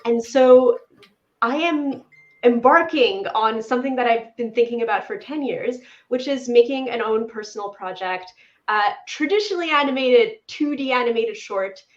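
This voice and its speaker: A woman's voice: a little high, moderate pace. A woman in her thirties from Poland, an animator chatting upbeat and enthusiastic as an interview guest over a video call. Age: 30s. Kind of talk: casual interview chat. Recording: echoey room, dull lo-fi sound.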